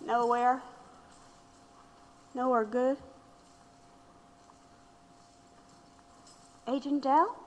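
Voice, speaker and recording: A woman's voice: high-pitched, fairly even intonation, takes it slowly. An actress playing a weary, rueful radical woman looking back with regret in an audio drama. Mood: dazed, uneasy. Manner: reflective, rueful. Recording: long hall-like reverb.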